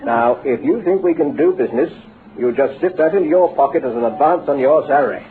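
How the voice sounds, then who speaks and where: An actor playing a brisk, flattering British businessman who recruits with avuncular persuasion, in old-time radio drama style. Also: mid-range voice, lightly acted. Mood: persuasive. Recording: tinny band-limited old radio recording, hissy.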